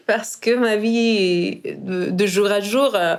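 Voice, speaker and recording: A woman in her thirties from Iran with a Persian accent, a podcast guest speaking French as a second language, often pausing and searching for words. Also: medium pitch. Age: 30s. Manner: hesitant, searching for words, many fillers. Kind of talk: casual interview.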